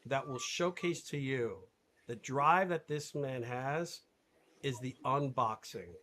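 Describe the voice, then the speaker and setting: A male host with a bright, forceful tone and an ordinary mid-range pitch. He talks in an animated, emphatic way and laughs often as he interviews a guest on a live webinar. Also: takes it slowly, a little hiss or hum.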